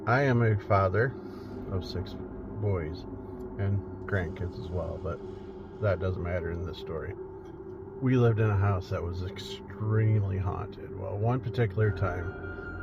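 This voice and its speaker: Middle aged guy telling a spooky story, kinda low voice, loud music all over it, muffled lo-fi sound. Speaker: paranormal investigator telling his own story.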